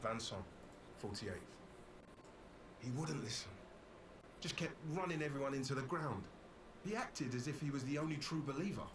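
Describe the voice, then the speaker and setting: An actor playing a weary, dutiful, conflicted expedition officer recording a solemn journal in a video game. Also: male voice, medium pitch, lively intonation, far from the mic, noisy background, lightly acted. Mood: bitter. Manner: grave, solemn, deliberate.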